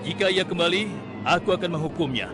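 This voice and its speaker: A man's voice: high-pitched, animated, fast. A man playing a stern, authoritative prophet king with a regal, commanding manner in a children's cartoon. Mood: commanding, displeased. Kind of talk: children's animated religious story acting. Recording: loud music behind the voice.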